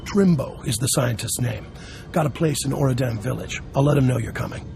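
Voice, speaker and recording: A gravel-voiced, scheming smuggler boss acted by a man in a space adventure game. He is jovial and persuasive at a middling pitch. Faint music plays behind him. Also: fairly quick, close to an everyday voice. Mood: upbeat persuading. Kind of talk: video game voice acting.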